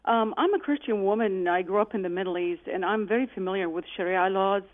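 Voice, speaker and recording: A woman's voice: medium pitch, a little fast. Woman caller from Iraq, middle-aged, hesitant and earnest, tinny phone line. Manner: hesitant, earnest, many fillers. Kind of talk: call-in radio talk.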